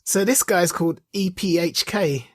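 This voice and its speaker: A man with a husky, slightly rough voice co-hosts a podcast. He gives his opinions in casual chat, repeating phrases for emphasis. The sound is close and dry. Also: high-pitched, moderate pace, no room sound. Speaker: podcast co-host. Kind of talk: casual chat and informal reviews.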